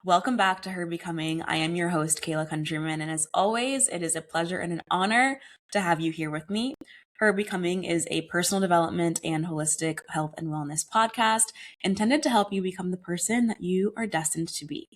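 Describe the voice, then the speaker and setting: A young adult woman hosting her wellness podcast alone, talking in an earnest, conversational way, her voice a bit raspy from a recent cold. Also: on the low side, a little fast. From United States. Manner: earnest, conversational, uses casual "y'all" and "like". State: slightly hoarse from a cold. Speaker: podcast host. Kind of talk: solo wellness podcast monologue.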